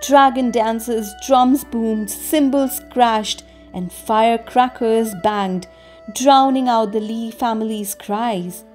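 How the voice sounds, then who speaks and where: A woman with a fairly high voice reads a children's story aloud. Her phrasing is expressive and deliberate, and music plays behind her. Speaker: storybook reader. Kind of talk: scripted children's story narration.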